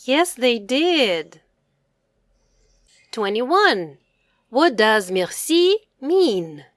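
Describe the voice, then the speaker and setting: A woman presenting a quiz for young children, asking questions and answering them in a deliberate, carefully enunciated, teacherly manner. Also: fairly high pitch, sing-song, a bit bright, no echo, studio quality.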